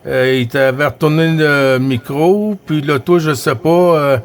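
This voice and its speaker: Halting Quebec French man, slightly high voice. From Quebec, Canada. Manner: halting, repeats his phrases. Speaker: CB radio operator. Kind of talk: casual radio chat with greetings.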